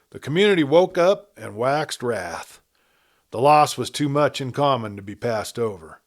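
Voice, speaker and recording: A man reading a western story as a storyteller, in a measured, dramatic narration with emphatic swells and phrases that trail off. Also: medium pitch.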